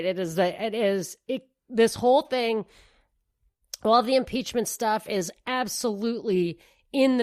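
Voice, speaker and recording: A woman co-hosting a podcast, her voice at an ordinary pitch and recorded dry and close. She talks fast and excitedly, circling back and restarting her sentences as she goes. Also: no room sound. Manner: animated, rambling, restarts mid-thought. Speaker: podcast co-host. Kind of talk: casual political commentary.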